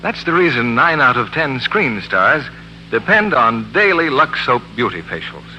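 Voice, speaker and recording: A man delivers a scripted 1940s radio commercial with polished emphasis. His voice is very bright and lively in pitch, ringing in a reverberant hall. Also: measured pace, a little hiss or hum. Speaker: radio announcer reading soap advertising. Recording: reverberant narrow-band old radio broadcast.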